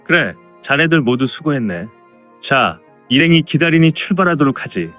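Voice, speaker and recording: A man's voice: on the high side, very animated, moderate pace, narrow, tinny audio. A man playing a good-natured, teasing caravan escort chief with bossy, old-fashioned speech in a martial arts audio drama. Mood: bossy, encouraging. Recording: dry, band-limited, music underneath.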